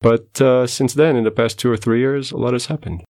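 A middle-aged man from Portugal with a Portuguese accent, giving measured, explanatory answers as a guest scientist in an interview. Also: medium pitch, steady tone, darkish tone. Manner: measured, explanatory, self-correcting.

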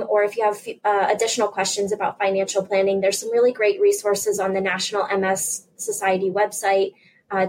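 A woman hosts an informational interview, speaking at a medium pitch. She presents advice and puts questions to guests. There is faint ambient noise behind her. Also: low-quality recording.

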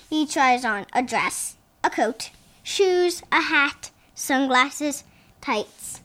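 Young child, about six, high voice, slow delivery, storybook read-aloud, conversational asides. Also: pushed and bright, slight background noise. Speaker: child reader reading a picture book aloud.

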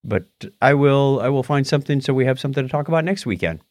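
A man co-hosting a podcast in casual chat, sometimes halting as he searches for words. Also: medium pitch, expressive, a little mellow, no room sound, clean studio sound. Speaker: podcast co-host. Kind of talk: casual chat about movies and tech.

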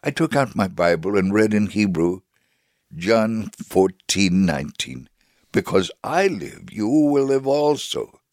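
A man giving a dramatic reading as a devout Holocaust survivor telling his story, measured, solemn and earnest. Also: fairly low pitch, lively intonation, darkish tone, no room sound, studio quality. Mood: solemn, assured. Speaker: dramatic reader. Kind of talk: dramatic first-person memoir reading.

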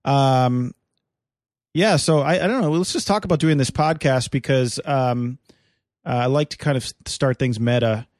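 A male comedian co-hosts a loose podcast from his apartment, talking in a rambling way full of fillers, restarts and crude asides. The sound is dry and close with no room around it, and his pitch sits in the middle for a man. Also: moderate pace, darkish tone, no echo. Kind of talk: casual banter podcast.

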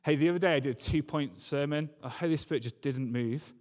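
A man's voice: fairly high pitch, fairly quick, darkish tone. A man preaching a church sermon in an earnest, emphatic way, repeating key phrases. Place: large reverberant church or hall. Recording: long hall reverb.

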